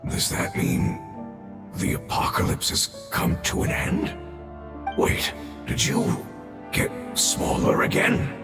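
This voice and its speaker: An actor playing a dying ancient male guardian, speaking in a whispery, breathy, grave and measured way. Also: natural voice. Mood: weary, solemn. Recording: echoey room with game music.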